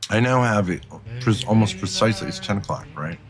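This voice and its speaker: A man chatting casually on a livestream, with loud vehicle noise around him. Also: lowish voice, lively intonation, a little fast. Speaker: livestreamer on a road trip.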